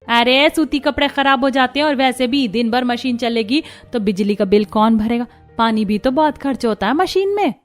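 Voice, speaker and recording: Cartoon mother-in-law, played by a woman: middle-aged, nagging, thrifty, sweetly bossy, highish pitch, faint music bed. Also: moderate pace, natural voice. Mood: defensive, chiding. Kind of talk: Hindi cartoon story dialogue.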